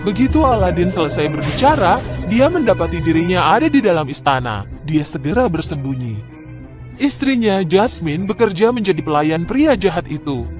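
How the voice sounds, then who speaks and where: A man narrating a scripted fairy tale for children in an expressive, deliberate storytelling manner. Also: sits high, music in the background.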